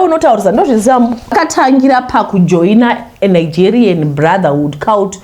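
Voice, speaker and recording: A woman from Zimbabwe, a host who chats animatedly with viewers and then reads a confession aloud. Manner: animated, talks straight to viewers, voice leaps high when scolding. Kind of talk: chatty intro, then reading a confession aloud.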